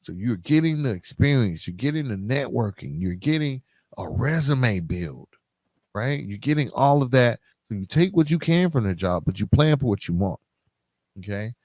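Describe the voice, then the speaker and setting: A man's voice: mid-range voice, animated, moderate pace, a little mellow, no echo, studio quality. A middle-aged man hosting a podcast, talking informally and directly to his listeners in a conversational solo monologue. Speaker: podcast host.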